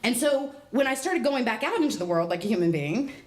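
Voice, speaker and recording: Wry, lively woman telling a stage monologue. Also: a little high, a little fast. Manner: wry, exasperated storytelling, voicing others in quotes. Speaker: monologue performer. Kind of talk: comic personal monologue on stage. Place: live stage before an audience.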